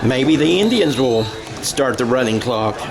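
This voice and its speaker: A man doing radio-style football play-by-play, excitable, thinking aloud and correcting himself as he follows the action. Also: medium pitch, a little slow, crowd noise.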